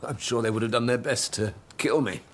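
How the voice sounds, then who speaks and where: A man's voice: mid-range voice, fairly quick, a bit bright, slight background noise, close to an everyday voice. An actor playing a humble, guarded male servant, deferential and plain-spoken, in a period radio drama. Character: Fortunatus, humble, guarded Moorish stable servant.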